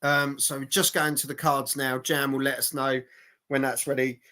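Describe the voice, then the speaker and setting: Male stream host, sharp forceful voice going hoarse, blokey and repetitive, reacting live to boxing. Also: mid-range voice, level tone, normal speed. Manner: blokey, sweary, repeats phrases for emphasis. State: hoarse, losing his voice. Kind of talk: casual live sports reaction chat.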